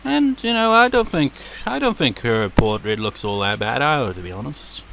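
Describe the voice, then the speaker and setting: A man with a deep voice giving casual, rambling commentary as a let's play host, laughing and swearing as he goes. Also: sing-song, moderate pace, vocal fry, background noise. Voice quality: naturally deep voice.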